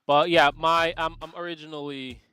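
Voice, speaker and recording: A man's voice: on the high side, fairly even intonation, normal speed, sharp tone, clean tone, soft background music. A man from Jamaica hosting a live trading stream, chatting casually and joking with viewers in the chat. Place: home setup, UK.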